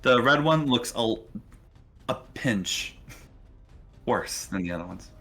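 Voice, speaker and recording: A man's voice: mid-range voice, expressive, slow pace, sharp tone, faint music behind. A man narrating a tabletop role-playing game as game master.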